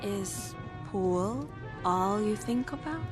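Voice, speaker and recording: A woman's voice: medium pitch, lively intonation, slow pace, over music. An actress playing a fed-up, sarcastic girlfriend in a radio drama, speaking in a dry, pointed way. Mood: cool, hurt.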